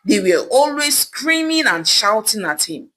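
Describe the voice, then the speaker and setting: Bright, animated woman telling a folktale. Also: mid-range voice, unhurried. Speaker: storyteller. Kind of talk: dramatic scripted folktale narration.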